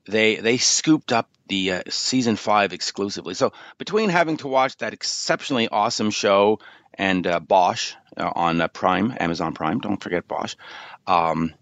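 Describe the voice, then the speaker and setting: A man's voice: on the low side, animated, moderate pace, fairly bright tone, dry recording. A male host chatting loosely and going off on tangents before he introduces his guest. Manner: chatty, digressive. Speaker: radio show host. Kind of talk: casual rambling show intro.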